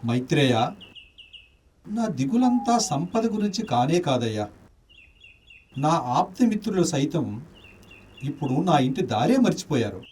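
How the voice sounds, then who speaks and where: Melancholy, noble gentleman with sweeping intonation. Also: a little high, sing-song, normal speed, pauses often, distant mic, slight background noise. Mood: sorrowful. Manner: earnest, lyrical, dignified. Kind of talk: radio play acting in Telugu.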